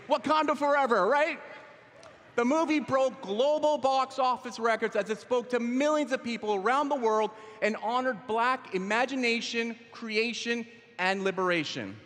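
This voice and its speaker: A man's voice: extremely high pitch, fairly bright tone. A man hosting a conference on stage, with playful, upbeat emcee patter. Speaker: event host. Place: large hall on stage. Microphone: stage microphone. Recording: hall reverb.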